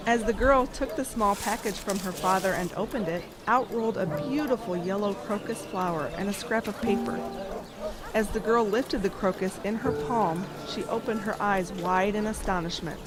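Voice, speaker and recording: A woman playing an earnest, kindly nun who tells stories and teaches with a deliberate, emphatic delivery. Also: medium pitch, over music, lightly acted. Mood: storytelling. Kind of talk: audio drama storytelling.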